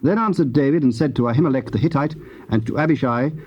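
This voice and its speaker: A man narrating dramatized scripture in a formal, measured storytelling style. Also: mid-range voice, a little mellow. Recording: dull, hissy old cassette tape.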